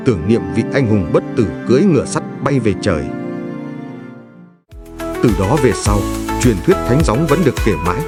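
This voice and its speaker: A man narrating a legend in a solemn, reverent, scripted storytelling style. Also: medium pitch. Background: music.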